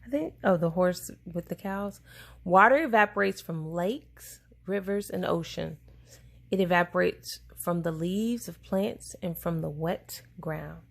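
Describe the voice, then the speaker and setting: A woman reading a children's science book aloud to a child in a deliberate, explaining way, pausing to answer questions. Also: fairly low pitch, vocal fry.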